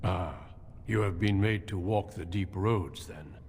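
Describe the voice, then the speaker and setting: Grave warrior commander, cavernous reverb. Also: male voice, sits low, darkish tone. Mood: courteous, calm. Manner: formal, measured, solemn. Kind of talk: fantasy video game acting. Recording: long reverb, music behind.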